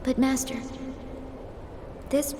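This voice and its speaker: A woman's voice: takes it slowly, mellow, a little hiss or hum, natural voice. A woman playing a young girl pupil in a video game, earnest, devoted and deferential to a master. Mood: dutiful, questioning. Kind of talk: dramatic video game acting. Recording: echoey hall reverb with clear background ambience.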